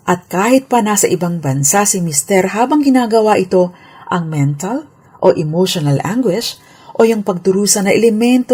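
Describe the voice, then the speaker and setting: A Filipino woman speaks as a lawyer on a radio legal segment. Her voice sits on the low side with a husky grain. She explains in a formal but conversational way, slipping into English for legal terms. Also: darkish tone.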